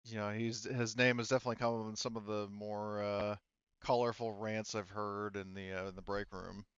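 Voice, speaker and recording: A man voicing a candid, cautious female engineering worker in a tabletop game, halting and informal. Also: fairly low pitch, level tone, fairly bright tone, clear, resonant tone, no room sound, highs cut off, lightly acted. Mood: guarded, helpful.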